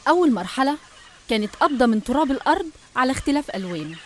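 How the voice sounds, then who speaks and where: A woman's voice: sits high, sing-song, rapid-fire, slight background noise. A woman with an Egyptian accent narrating a scripted religious story in a didactic way, speaking straight to the listener. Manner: didactic, addressing the listener directly.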